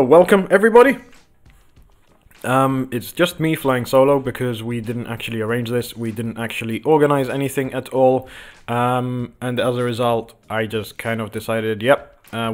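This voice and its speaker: A man hosting a casual livestream from his home office, croaky from just waking up, rambling with lots of ums as he talks to viewers and the chart. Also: mid-range voice. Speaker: crypto livestream host. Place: home office with window open.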